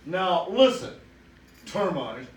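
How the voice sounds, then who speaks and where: A proud, pompous eagle who speaks slowly and booms with self-importance, as a man acts him out. The voice rings out in an echoey room and is heard from a distance. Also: big pitch swings, full character voice.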